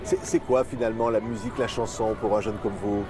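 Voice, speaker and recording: A man interviewing a singer outdoors at a festival, chatty and lively in his questions. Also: on the low side, quick pace.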